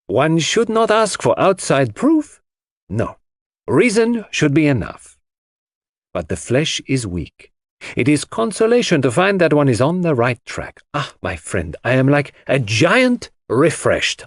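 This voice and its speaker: A man voicing an excitable, precise, self-satisfied male detective in a dramatized mystery audiobook. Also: on the high side, slow pace, dry recording, studio quality, voice pushed up, heavily acted. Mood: elated. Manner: precise, theatrical, exclamatory. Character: excitable, fastidious French-speaking male detective, pleased with himself.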